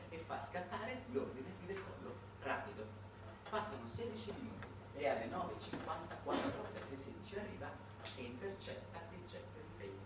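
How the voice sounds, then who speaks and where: Guy doing a stage monologue, really animated and emphatic, noisy recording with loads of echo. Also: on the high side, recorded from a distance. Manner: emphatic, dramatic pauses and repetitions. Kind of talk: theatrical narrative monologue, conversational storytelling. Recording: echoey, noisy room recording from afar.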